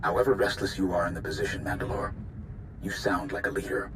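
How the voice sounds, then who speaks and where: An actor playing a restless, combative space warrior lieutenant in a video game, terse and wary. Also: male voice, low voice, expressive, fast, fairly bright tone, a little hiss or hum, rough recording. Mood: wry, wary. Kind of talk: video game dramatic acting. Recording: music under the voice.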